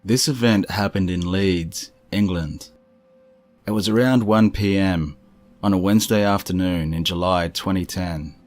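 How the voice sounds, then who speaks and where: A man reading scripted first-person stories as a narrator, in a measured, serious manner. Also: sits low, soft background music. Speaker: narrator of strange true stories.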